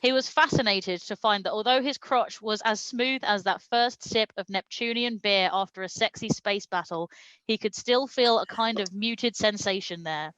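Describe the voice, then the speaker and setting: Bright-voiced woman reading comic fiction. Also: medium pitch, moderate pace, clean tone, no room sound, highs cut off. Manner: mock-serious, often breaking into laughter. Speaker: reader at a comedy show. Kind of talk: comedic reading of fan fiction aloud.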